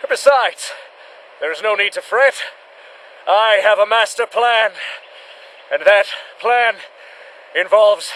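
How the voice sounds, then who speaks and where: An actor playing a bombastic, self-important but cowardly monster hunter in an old-fashioned radio adventure serial, proclaiming theatrically. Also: male voice, sits high, slow, pauses often, a bit bright, rough recording. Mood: boastful, commanding. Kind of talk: 1940s-style radio adventure serial acting.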